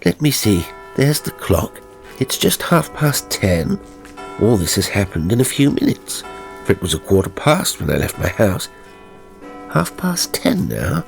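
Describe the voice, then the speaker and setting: A man voicing a prim, newly dead gentleman ghost in a storybook reading, musing and chatting in a genteel way. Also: lowish voice, big pitch swings, a little slow, vocal fry, soft background music, heavily acted. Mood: puzzled.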